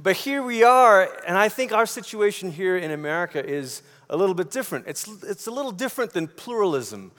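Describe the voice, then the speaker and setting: Male preacher from Malaysia, high register, conversational sermon with repeated phrases, big echoing hall sound. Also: very animated, brisk, few pauses, a bit bright. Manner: conversational, rhetorical questions, repeats phrases for emphasis. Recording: long hall reverb.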